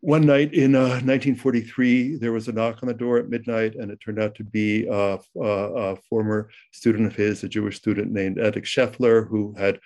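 A man's voice: on the low side, little pitch movement, a little fast, few pauses, darkish tone. A middle-aged man whose first language was Ukrainian, speaking at a panel with many ums and restarts. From United States. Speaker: novelist guest. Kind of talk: panel discussion.

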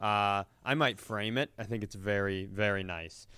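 A man's voice: on the low side, normal speed, bright, forceful tone, smooth, no room sound. A man telling stories casually and comically as a podcast co-host, indignant and sweary, acting out the exchanges he quotes. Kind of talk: casual comedic storytelling.

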